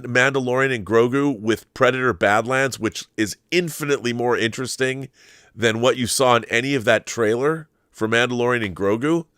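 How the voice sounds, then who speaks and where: A man's voice: mid-range voice, a bit bright, no echo, clean studio sound. A middle-aged American man talking casually on a livestream, rambling conversationally and often restarting his sentences as he goes. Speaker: host of a live YouTube show. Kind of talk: casual livestream monologue answering viewer comments.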